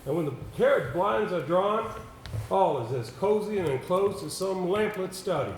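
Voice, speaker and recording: Man acting stage gentleman, polite, doubtful, halting, gravelly fry, formal, roomy hissy recording. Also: high voice, expressive. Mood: narrating. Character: senior solicitor and halting amateur actor, polite, doubtful. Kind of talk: amateur stage play acting. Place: indoor theatre stage. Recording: echoey stage recording with background hiss.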